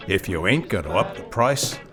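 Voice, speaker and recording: An actor playing a proud, hard-bargaining gunslinger for hire, talking laconically in ornate phrases, in an audio drama. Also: male voice, low voice, lively intonation, normal speed, music in the background. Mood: indignant, stubborn. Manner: laconic, ornate old-time Western phrasing.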